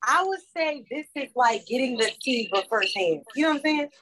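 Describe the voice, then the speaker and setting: A woman's voice: sits high, expressive, fairly quick, fairly bright tone. A woman guest talking casually, in an emphatic and colloquial way. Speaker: voice cast member, guest. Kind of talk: casual chat.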